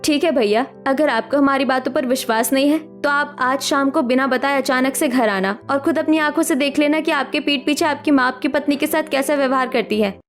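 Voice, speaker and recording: Actress as confident young bahu in cartoon drama, shrewd, assertive, high-pitched, faint music bed. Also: female voice, fairly quick, few pauses, lightly acted. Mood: firm. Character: assertive, shrewd young city daughter-in-law.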